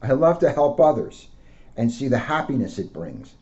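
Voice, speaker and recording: A man, a police officer, reading aloud to children in simple, deliberate phrases. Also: mid-range voice, sing-song, warm tone. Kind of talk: read-aloud storytelling with casual asides. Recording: dull lo-fi sound.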